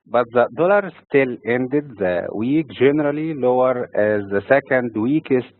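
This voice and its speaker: A man from Egypt hosting a weekly financial market update, reading dates and figures in a steady, measured way. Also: mid-range voice, no room sound. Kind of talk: scripted market commentary. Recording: dry, phone-like narrow sound.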